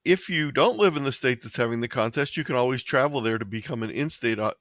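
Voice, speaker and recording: A man's voice: mid-range voice, quick pace, a bit bright, no echo. American man presenting, dry close sound. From Ohio, United States. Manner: plain, methodical explaining. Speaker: presenter of an amateur radio tutorial. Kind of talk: instructional slideshow presentation.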